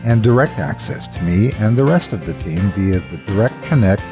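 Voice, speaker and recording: A man with a raspy, gravelly voice doing scripted horror storytelling in a theatrical, emphatic manner. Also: fairly low pitch, animated, over music. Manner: theatrical, emphatic, drawn-out words. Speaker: horror story narrator and host. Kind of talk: dramatic scripted horror narration and promotional address.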